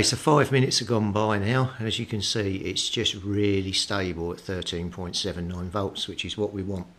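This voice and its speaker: A British man giving a casual, hands-on repair commentary, thinking aloud with some hesitation as he works. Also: lowish voice. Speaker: hobbyist radio repairer presenting a repair video. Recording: clearly noisy recording with clicks.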